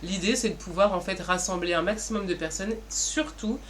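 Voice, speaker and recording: Woman presenting over clear background noise. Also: mid-range voice, brisk, a bit bright. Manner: explanatory, addressing viewers directly. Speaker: co-presenter explaining an online community. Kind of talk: semi-formal improvised presentation.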